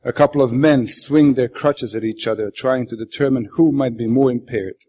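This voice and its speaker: Male author, native Bosnian speaker with Bosnian accent, mid-pitched, reading literary prose aloud with dry, wry delivery. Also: normal speed, dark tone. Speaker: author reading his own work.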